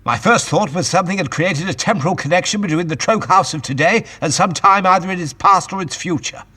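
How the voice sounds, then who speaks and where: An actor playing a brisk, authoritative scientist-adventurer in an audio drama, explaining and commanding in an old-fashioned gentlemanly way. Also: male voice, sits high, breathy edge, exaggerated voice. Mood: explaining, assured.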